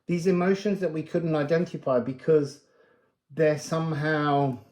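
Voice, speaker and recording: A man giving an unscripted spiritual card reading, musing aloud with pauses and emphatic phrases. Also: a little high, steady tone, darkish tone, roomy sound. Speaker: card reader and spiritual guide.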